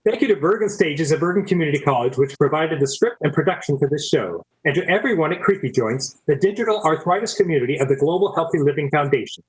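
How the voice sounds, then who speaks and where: A man's voice: on the high side, lively intonation, fast, few pauses, low-quality recording. A man hosting a radio theater show, reading scripted announcements like an old-time radio presenter.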